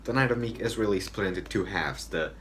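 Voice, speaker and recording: A man's voice: lowish voice, fairly quick, a bit bright, a little hiss or hum. A man from Romania, giving loose, rambling commentary on a TV episode from his home and swearing freely. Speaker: video essayist. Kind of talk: casual review commentary. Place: home room, indoors.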